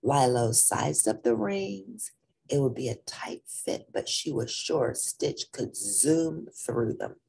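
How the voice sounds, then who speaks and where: A woman reading a children's story aloud in an animated, dramatic, expressive narrating style. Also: extremely low pitch, takes it slowly, vocal fry, slightly rough.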